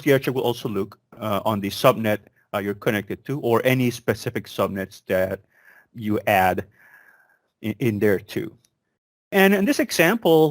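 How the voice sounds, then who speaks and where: A man's voice: mid-range voice, dry recording, clean studio sound. A man giving an instructional product demonstration in a conversational, step-by-step explanatory manner. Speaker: product demonstrator, program manager. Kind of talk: instructional product walkthrough, semi-scripted.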